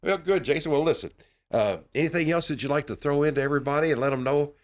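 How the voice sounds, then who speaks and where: A man hosting a company podcast as its CEO, affable and encouraging, often answering his guest with quick affirmations. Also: on the high side. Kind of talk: casual podcast interview.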